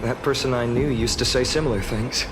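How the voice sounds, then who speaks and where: An actor playing a friendly, teasing young warrior man in an anime-style video game, wistful and skeptical, in a conversational, expressive way. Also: medium pitch, a little fast, noisy background, natural voice.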